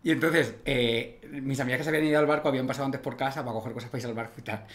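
Un hombre joven, copresentador de un pódcast, charla de forma relajada y bromista en un estudio pequeño con micrófono de mesa. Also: male voice, medium pitch, steady tone. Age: young adult. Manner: quick, chatty, joking interjections. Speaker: podcast co-host. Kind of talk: casual conversation. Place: small studio room at a desk. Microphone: desk microphone on stand.

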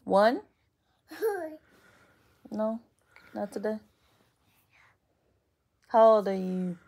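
A woman's voice: medium pitch, flat delivery, extremely slow, creaky, dark tone. A woman speaking in sing-song baby talk to a one-year-old, asking questions and praising the child.

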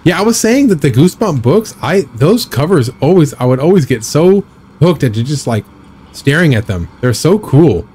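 A man in his thirties chatting casually while live-streaming a driving game, rambling with a slightly raspy, scratchy throat. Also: on the high side, sing-song, moderate pace, warm tone. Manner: rambling, chatty, reads and answers chat.

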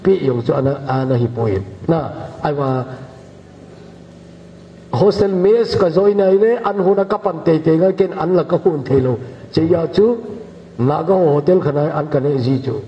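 An older man from northeast India preaching a measured, unhurried sermon as pastor in a church hall. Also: high-pitched, lively intonation. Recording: echoey church hall, dull lo-fi sound, faint hiss.

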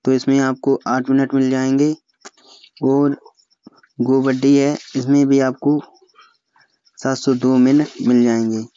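A young man with an Indian accent walks through a phone app step by step. His voice is mellow and smooth, with little rise and fall. The recording is dry and slightly muffled. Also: mid-range voice, no room sound. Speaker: tutorial host.

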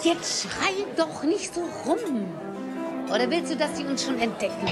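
An actress playing a bossy, scheming old witch grandmother in an audio drama, husky and commanding. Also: high-pitched, very animated, loud music behind the voice. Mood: irritated, scheming. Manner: commanding, drawn-out, conniving. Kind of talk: German audio drama acting.

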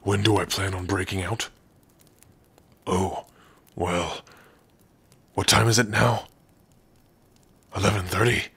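A man voicing a big, gruff orc prisoner in an ASMR roleplay, brooding and sardonic, with a husky, rough voice. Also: low-pitched, fairly even intonation, slow pace, pauses often, a bit bright, slight background noise, heavily acted. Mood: warming, gruff. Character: big gruff male orc prisoner, guarded but lonely. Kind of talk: ASMR roleplay addressed to the listener.

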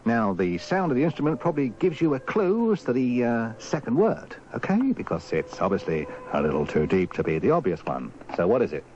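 A man's voice: mid-range voice, big pitch swings, fairly quick, few pauses, darkish tone, lo-fi sound. A man hosting a live radio quiz in an emphatic, exasperated manner, on an old radio tape. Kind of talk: live phone-in quiz chat.

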